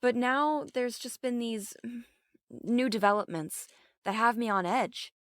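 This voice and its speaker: A woman's voice: fairly high pitch, measured pace, no echo, studio quality, natural voice. A woman playing a guarded, conflicted police captain, speaking informally and earnestly in an audio drama. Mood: conflicted, uneasy.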